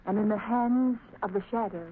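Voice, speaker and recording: An actress playing the anxious young companion of the hero in a 1930s radio drama, speaking quickly and pleadingly. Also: female voice, mid-range voice, loud music behind the voice, natural voice. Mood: worried. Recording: tinny, noisy old radio recording.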